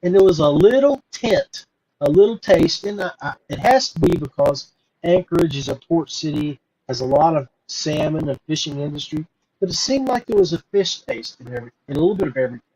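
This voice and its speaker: A middle-aged or older man hosting a podcast, rambling casually to viewers in a halting, folksy way and repeating words. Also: a little high, lively intonation, darkish tone, breathy edge, poor audio. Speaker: podcast host.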